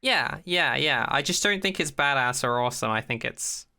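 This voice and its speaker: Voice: mid-range voice, expressive, normal speed, pushed and bright. A podcast co-host casually giving critical opinions on a TV show, swearing freely with some dry sarcasm.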